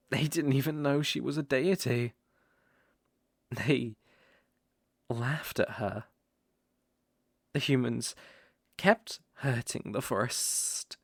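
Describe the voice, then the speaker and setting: Casting: performer as a smooth, sinister-sweet naga captor for intimate ASMR roleplay; gravelly creak, dry sound, coaxing and possessive. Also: mid-range voice, dry recording, full character voice. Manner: coaxing, cooing, drawn-out endearments.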